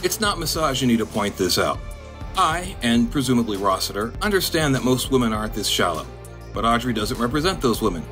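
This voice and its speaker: A man narrating a scripted video essay in a dry, monotone, deadpan way. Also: mid-range voice, a little fast, faint music behind. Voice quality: monotone delivery. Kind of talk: scripted commentary.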